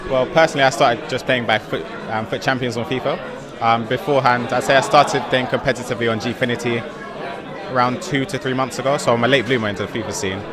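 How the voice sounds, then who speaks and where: A man's voice: mid-range voice, fairly even intonation, fast. A nineteen-year-old man from London, an esports player giving earnest, modest interview answers. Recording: loud crowd behind. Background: loud crowd.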